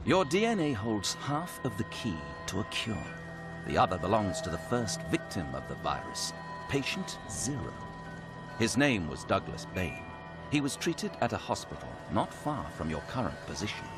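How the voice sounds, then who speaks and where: A man's voice: fairly low pitch, sing-song. Sweeping, theatrical male scientist. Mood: calculating, courteous. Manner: formal, measured, theatrical. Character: smooth, calculating pharmaceutical scientist, polite and ominous. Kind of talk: scripted game cutscene dialogue. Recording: music behind the voice.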